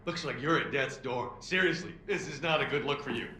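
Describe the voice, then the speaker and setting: An actor playing a fast-talking, profane and slangy rebel who poses as a lawyer in a video game cutscene. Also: male voice, mid-range voice, natural voice. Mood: sardonic, urgent. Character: fast-talking sweary rebel posing as a lawyer, wild and wired. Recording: roomy echo.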